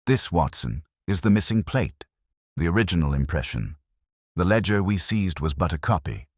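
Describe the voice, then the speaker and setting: A man's voice: low voice, animated, moderate pace, dry recording, studio quality. A man voicing a shrewd, commanding Victorian detective in an audiobook, speaking formally and incisively. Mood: measured, resolute. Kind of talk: dramatic audiobook character reading.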